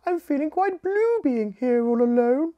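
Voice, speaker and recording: A woman voicing a tired, frazzled queen bee in a storybook, speaking high and breathless. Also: animated, measured pace, narrow, tinny audio.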